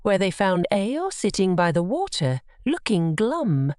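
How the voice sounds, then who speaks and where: A woman's voice: mid-range voice, sing-song, a little slow, a little mellow, clear, resonant tone, no room sound, clean studio sound. A woman narrating scripted bedtime stories for children as a storyteller.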